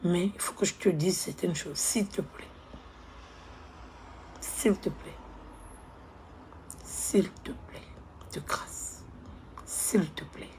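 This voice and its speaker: A woman playing a hushed, pleading woman begging her ex. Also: on the low side, slow pace, long pauses, a little hiss or hum, rough recording. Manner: soft, hushed, pleading, often near a whisper. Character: remorseful young woman begging her ex on the phone. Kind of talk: comedy skit monologue.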